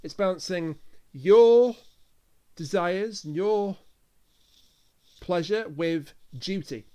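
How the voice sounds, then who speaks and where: A man's voice: sits high, takes it slowly, dark tone, smooth. A man talking casually as a podcast reviewer in a discussion.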